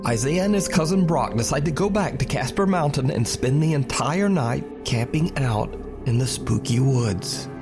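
A man delivers scripted narration for a spooky countdown video. His mid-pitched voice sits over a clearly audible music bed as he tells each story to the viewers. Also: animated, moderate pace.

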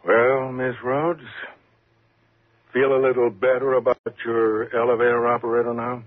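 Actor as a husky-voiced, patronizing prison warden. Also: male voice, mid-range voice, level tone, close to an everyday voice. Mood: matter-of-fact. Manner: measured, reassuring, condescending. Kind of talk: 1950s radio thriller acting. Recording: muffled, lo-fi 1950s radio recording.

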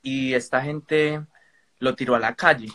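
A man's voice: medium pitch, fairly even intonation, a little slow, fairly bright tone. A man with a Colombian accent, speaking as a caller in a casual, polite, somewhat hesitant and roundabout way. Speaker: caller on a live stream. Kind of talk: casual spoken account.

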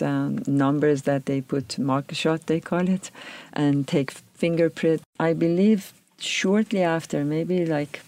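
A woman recounts her past in a reflective interview, often pausing to find her words. Her voice is gravelly and stays fairly level in pitch. The recording is dry and close. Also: warm tone, no echo. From Iran. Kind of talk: recounting personal history.

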